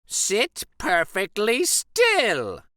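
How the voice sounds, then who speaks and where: A man voicing a scary, strict witch of a schoolteacher, hissing and snapping menacing drawn-out commands in a children's storybook. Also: sits high, wildly swooping pitch, bright, forceful tone, dry recording, clean studio sound, voice pushed up, heavily acted. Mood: stern threatening.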